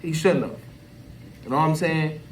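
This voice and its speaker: A high-pitched American man delivers off-the-cuff commentary for social media, heard at some distance from the microphone. He talks in an emphatic, colloquial way and often repeats himself to drive a point home. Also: normal speed. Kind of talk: unscripted opinion rant to camera. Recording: voice distant from the mic.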